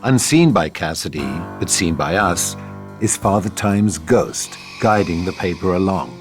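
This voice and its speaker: A man narrates a script's scene directions in a scripted reading style. His voice is fairly low, and music plays clearly behind him. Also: animated, a little slow.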